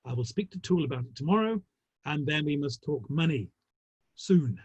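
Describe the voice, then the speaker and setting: A man playing a measured, businesslike Victorian theatre manager with a dry, considered manner, in a dramatic reading. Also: on the high side, lively intonation, darkish tone, breathy edge. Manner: dry, considered, tactfully critical. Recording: dull, roomy online-call audio.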